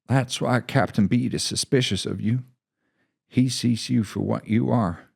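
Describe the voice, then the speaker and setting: A man's voice: mid-range voice, steady tone, unhurried, gravelly, darkish tone, slightly rough, pitched above the performer's own voice, full character voice. A man voicing a zealous military commander from a short story, speaking in an emphatic, oratorical way. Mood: cold, accusing.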